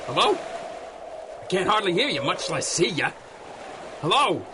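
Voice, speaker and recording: Casting: obliging, jittery 1930s henchman, slightly high voice, ungrammatical slang, radio drama with noisy backdrop. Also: big pitch swings, unhurried. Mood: nervous. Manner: slangy, ungrammatical tough-guy talk. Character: nervous, dim, obliging 1930s gangster henchman.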